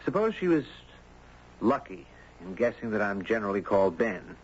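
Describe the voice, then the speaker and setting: A man playing a courteous, curious storyteller, gentle, thoughtful and well-spoken, in a muffled, noisy 1970s radio drama. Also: fairly low pitch, sing-song, moderate pace, husky. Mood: puzzled, intrigued. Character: courteous, curious man, narrating his own strange tale.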